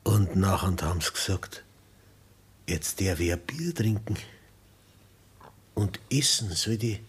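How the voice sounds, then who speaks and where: An actor playing a dying peasant man in a 1960s radio play, speaking in a quiet, resigned way. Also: sits low, expressive, slow, slightly rough, full character voice. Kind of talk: 1960s radio drama acting.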